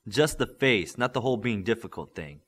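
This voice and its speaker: A man's voice: on the low side, lively intonation, brisk, fairly bright tone. A man voicing a firm, encouraging male superhero coach and mentor in an audiobook. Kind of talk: audiobook character acting.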